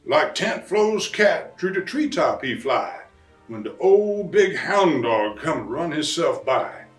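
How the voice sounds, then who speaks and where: A man voicing an excitable bayou papa who tells a rhyming Christmas storybook tale in a folksy, animated way with drawn-out emphasis. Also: on the high side. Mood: excited. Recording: roomy, distant mic, faint music.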